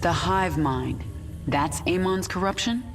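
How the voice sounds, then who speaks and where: An actress playing a vengeful alien swarm queen in a video game, cool, deliberate and commanding. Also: on the low side, over music. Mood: cool, resolute. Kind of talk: video game cinematic acting.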